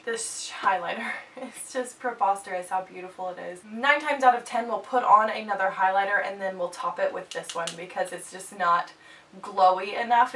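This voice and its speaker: A woman's voice: medium pitch, a bit bright. A young woman in her twenties with a stuffed-up nose, chatting casually and jokily as she reviews products for her channel. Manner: bubbly, chatty, jokey asides. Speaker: beauty vlogger. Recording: slightly distant mic, faint background hiss.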